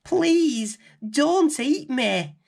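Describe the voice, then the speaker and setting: Plucky little storybook girl, played by a male reader: high, urgent, expressive, with big swoops in pitch. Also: very slow, gravelly, exaggerated voice. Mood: frightened, gasping.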